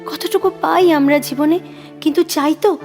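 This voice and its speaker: A woman acting an intense, moody younger sister in a Bengali audio drama, an ardent reader speaking in halting, fervent repetitions. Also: sits high, a little mellow, soft background music. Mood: impassioned, possessive. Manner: breathless, halting, repeats words with fervour.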